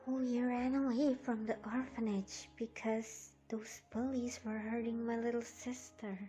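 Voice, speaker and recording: A woman's voice: fairly high pitch, level tone, mellow, faint music behind, natural voice. A woman voicing a timid five-year-old orphan filly who talks hesitantly. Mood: shy. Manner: hesitant, slow and shy.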